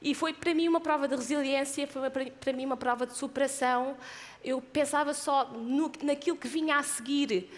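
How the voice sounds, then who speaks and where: A woman in her thirties from Portugal with a European Portuguese accent, giving an earnest motivational talk about her life as an athlete, pausing and restarting often. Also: high-pitched, fairly even intonation, big-room sound. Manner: earnest, with frequent hesitations and restarts.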